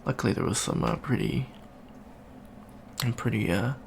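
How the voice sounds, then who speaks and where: Gravelly, awkward young alien student voiced by a man. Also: lowish voice, lively intonation, normal speed, a little hiss or hum. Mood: awkward, chatty. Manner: hesitant, stammering, awkward small talk. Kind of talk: improvised ASMR roleplay, casual chat.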